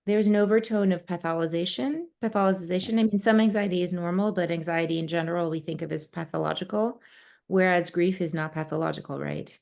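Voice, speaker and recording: Female host, low-pitched with vocal fry, conversational and full of fillers. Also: steady tone, fast, a little mellow. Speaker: host and advisor. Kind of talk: conversational interview.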